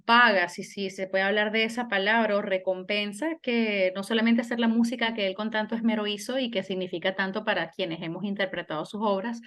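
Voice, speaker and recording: A woman's voice: mid-range voice, fairly even intonation, fairly quick, few pauses, a bit bright. A middle-aged woman from Venezuela, a singer and German teacher, talking thoughtfully in an interview with frequent restarts. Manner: reflective, many restarts and fillers. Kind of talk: conversational interview.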